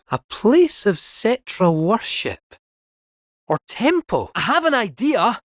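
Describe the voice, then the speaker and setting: A man's voice: sits high, big pitch swings, takes it slowly, a bit bright, no room sound, studio quality, exaggerated voice. A man voicing a chatty male robot cat fortune-teller with a Scottish accent, cheeky and folksy, full of rhyming quips. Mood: wry chatter. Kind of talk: video game voice acting.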